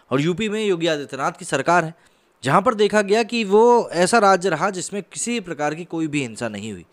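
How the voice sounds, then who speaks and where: A man's voice: a little high, fairly bright tone. A man hosting a news commentary, reading reports in an earnest, formal way. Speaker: YouTube news host and commentator.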